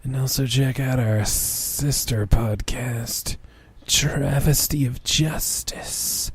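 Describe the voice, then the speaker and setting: Slow, breathy solemn griffin, voiced by a man. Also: medium pitch, fairly even intonation, no echo. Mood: slow, ceremonious. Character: solemn wise griffin creature with slow whispery speech. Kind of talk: dramatic fan fiction reading.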